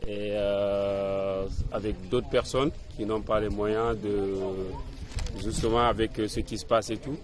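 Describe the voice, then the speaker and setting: A man from Senegal answering questions in a street interview, speaking softly and hesitantly with frequent pauses and restarts. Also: fairly low pitch, level tone. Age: adult, older than the hostel's young residents. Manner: hesitant, many pauses and restarts, soft-spoken. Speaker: interviewee, resident of a workers' hostel.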